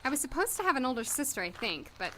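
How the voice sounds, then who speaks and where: A woman's voice: sits high, sing-song, quick pace, bright, forceful tone, slight background noise, heavily acted, low-quality recording. An actress playing a plucky young woman doctor in an audio drama, wry and determined in manner. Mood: wry, reflective.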